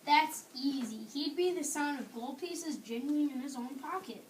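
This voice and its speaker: A woman's voice: high-pitched, moderate pace, natural voice. A young woman playing an earnest, generous young boy in amateur readers theater. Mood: playful. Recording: echoey room, distant mic, faint noise.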